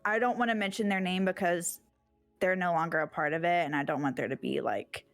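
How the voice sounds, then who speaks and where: A woman streamer as an interview guest, talking in a relaxed, rambling way with many fillers. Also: on the low side, a little fast, dry recording, faint music behind. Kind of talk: casual interview chat.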